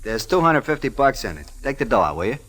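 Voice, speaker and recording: An actor playing a pushy, furtive prizefighter who talks in slang, in an old-time radio drama. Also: male voice, medium pitch, sing-song, quick pace, fairly bright tone. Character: furtive, pushy male prizefighter, street-talking. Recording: noisy old radio recording.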